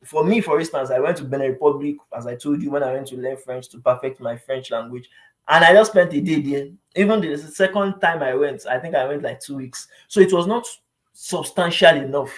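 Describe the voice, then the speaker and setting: A man's voice: on the high side, a little fast, fairly bright tone, rough recording. A man with a Nigerian accent speaks as an advice vlogger in an informal, explanatory style. His delivery is conversational and emphatic. He often repeats a phrase for weight and checks that the listener understands.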